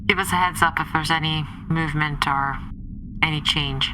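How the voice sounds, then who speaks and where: A woman playing a calm, cautious starship commander in a tabletop game, with a clipped, commanding manner. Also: deep voice, moderate pace, background noise, lightly acted. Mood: businesslike, commanding. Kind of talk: tabletop roleplay in character.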